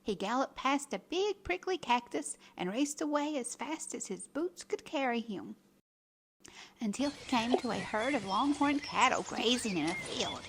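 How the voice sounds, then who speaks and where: High, very animated woman storyteller. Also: moderate pace, slight background noise. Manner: expressive, dramatic emphasis. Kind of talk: scripted children's story read-aloud.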